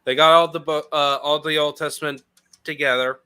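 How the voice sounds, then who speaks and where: A man's voice: a little high, level tone, brisk, pushed and bright, clean tone. A man in a casual group chat, hesitant with lots of ums. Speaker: podcast guest.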